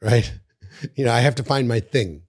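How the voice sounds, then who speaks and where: An older man hosting a podcast interview in a studio, talking casually into a boom mic, often repeating words and trailing off quietly. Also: normal speed, husky. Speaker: podcast host. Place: studio with bookshelves. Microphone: studio mic on boom arm.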